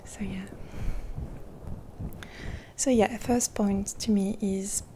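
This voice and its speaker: A caring woman talks in a hushed, partly whispered voice right up against a sensitive mic. Her tone is mellow and warm, and her pace drifts slowly with soft pauses. A faint hiss sits underneath. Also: mid-range voice, fairly even intonation. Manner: gentle, half-whispered rambling with pauses; caring, reassuring. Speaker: ASMR creator. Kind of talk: soft-spoken ASMR roleplay.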